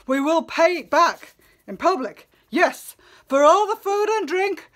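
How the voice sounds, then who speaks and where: Woman doing a scared wheedling suitor guy, glib and urgent, high pitched, epic tale. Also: animated, measured pace, fairly bright tone, exaggerated voice. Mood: pleading. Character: wheedling, frightened male suitor pleading for mercy.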